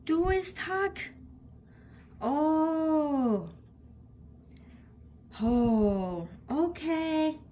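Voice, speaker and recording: A woman reading a children's picture book aloud in a deliberate way, acting out the exclamations. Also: sits high, lively intonation, mellow. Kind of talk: children's read-aloud storytelling. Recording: home recording with background noise.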